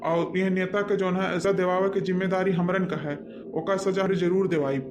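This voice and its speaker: A male village exorcist acted by a man with a notably high voice and an Awadhi accent. He gives instructions and reassurance with calm authority, as a holy man in a cartoon. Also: normal speed, crowd noise, close to an everyday voice. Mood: commanding, reassuring. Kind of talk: amateur Hindi cartoon dialogue.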